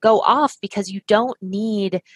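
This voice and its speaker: A woman's voice: medium pitch, a little slow, husky, no room sound. Woman guest, earnest and emphatic, recounting her story, dry close sound. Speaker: guest, health practitioner. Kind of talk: conversational storytelling.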